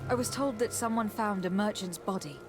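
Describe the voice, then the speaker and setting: A woman's voice: mid-range voice, a little fast, close to an everyday voice. A woman playing a firm, formal noble legate questioning people in a video game, in an authoritative manner. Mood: composed, formal. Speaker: game protagonist. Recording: music behind the voice.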